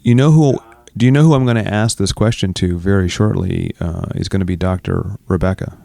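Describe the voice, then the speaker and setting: A man's voice: sits low, fairly quick, creaky, warm tone, dry recording, studio quality. A man co-hosting a running podcast, chatting in a teasing, rambling way. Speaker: podcast co-host. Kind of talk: casual chat. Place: studio.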